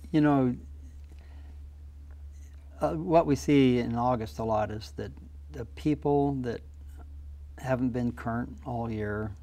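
A man's voice: medium pitch, dark tone. An older man, a pilot, explaining flying practice in a plainspoken, practical way in a small TV studio interview on a lapel mic. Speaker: guest pilot.

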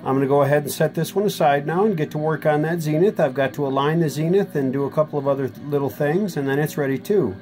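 A man's voice: fairly high pitch, quick pace, hardly any pauses, darkish tone, soft background music. An American man giving a casual, informal demonstration as a folksy video host. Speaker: radio restorer hosting a demo video. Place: indoor workshop room.

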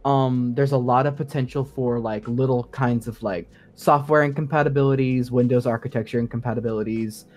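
A man's voice: medium pitch, fairly even intonation, brisk, few pauses, a little mellow. A man talking casually and in technical detail as a guest co-host on a gaming podcast, in an informal, hedging way. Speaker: podcast guest co-host explaining game sound design.

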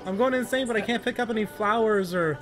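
An excitable man with a distinctly high voice, hosting casual gameplay. He talks informally to a co-op partner and swears freely, in the loose style of friends gaming together. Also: quick pace, music in the background. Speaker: gamer, video host.

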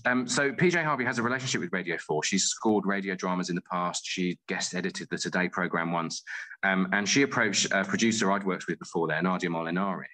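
Deep-voiced man in conversation. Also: fast, pushed and bright, poor audio. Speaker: interview guest, playwright. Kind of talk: conversational interview answers, storytelling.

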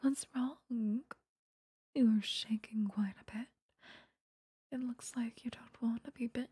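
Obsessive vampire seductress acted by a woman for intimate ASMR roleplay: very breathy whisper, dark and soft, menacingly possessive. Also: fairly high pitch, fairly even intonation, no room sound. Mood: hungry, possessive. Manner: slow, coaxing, intimate. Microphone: close microphone.

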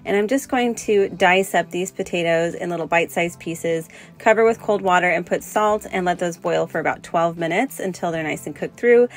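A young adult woman, a cooking channel host, giving relaxed, friendly step-by-step instructions in a close-miked voice-over. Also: lowish voice, normal speed, few pauses.

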